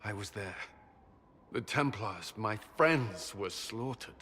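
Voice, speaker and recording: A guilt-ridden former soldier in a video game drama. His voice is forceful and bright, yet he speaks slowly and haltingly, with earnest anguish. Long reverb trails the words, with light noise underneath. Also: lowish voice, animated, full character voice. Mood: bitter, pained.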